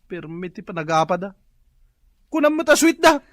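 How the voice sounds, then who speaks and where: Hapless, whining vendor, wildly swooping. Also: male voice, high voice, big pitch swings, moderate pace, no echo. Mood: complaining. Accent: Ilocano. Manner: exaggerated, plaintive. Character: hapless, whining married male tilapia vendor, excitable complainer. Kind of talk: Ilocano comedy radio drama acting.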